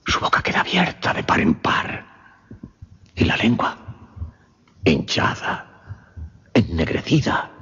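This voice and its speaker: An actor playing a grave mesmerist who recounts a horrifying case in a husky, breathy voice, halting and suspenseful, in radio drama style. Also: male voice, low-pitched, big pitch swings, a bit bright, whispered, full character voice. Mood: hushed dread. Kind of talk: dramatic radio horror storytelling. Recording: dull radio drama recording.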